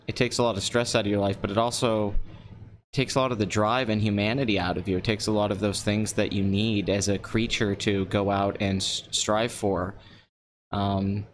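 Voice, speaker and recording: A young man in his late twenties talks into a desk microphone with a slightly low voice. His delivery is flat and deadpan, kept low and calm. A faint hiss of background noise sits under the recording. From Pennsylvania. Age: 20s. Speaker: live-stream host. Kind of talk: casual solo live-stream talk. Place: nearly empty apartment.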